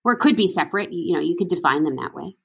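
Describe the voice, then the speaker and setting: A middle-aged woman from Canada chatting about her acting work, her voice a touch low and husky. She rambles through stories with lots of "you know" and "like" and restarts mid-sentence. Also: lively intonation, quick pace. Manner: chatty, storytelling, many fillers and restarts. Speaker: actress guest. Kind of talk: casual interview chat.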